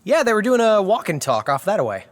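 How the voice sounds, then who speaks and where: An actor playing a chatty, scatterbrained young schemer in a comedic audio drama, rambling and jokey. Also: male voice, high-pitched, sing-song, fast talker, in a large hall. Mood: easygoing.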